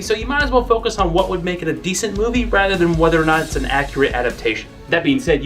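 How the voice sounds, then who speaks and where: A man's voice: fairly high pitch, a bit bright. A young American man giving scripted video essay commentary as a web show host, emphatic and opinionated with punchy asides. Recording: music playing behind the voice.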